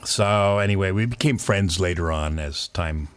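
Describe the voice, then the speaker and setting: An older man with a very low, deep voice tells an old radio story in a loose, rambling way, full of fillers and small chuckles. The sound is close and dry, with no room around it. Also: normal speed, smooth, no room sound. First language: not French; English speaker. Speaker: former pirate radio DJ, guest. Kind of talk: relaxed storytelling interview.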